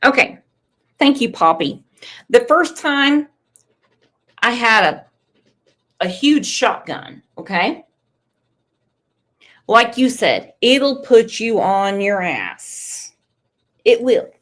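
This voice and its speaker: A woman's voice: fairly high pitch, slow, long pauses. A woman hosting a casual live stream, reading chat comments aloud with emphatic, profane commentary while losing her voice. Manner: animated, swearing, emphatic.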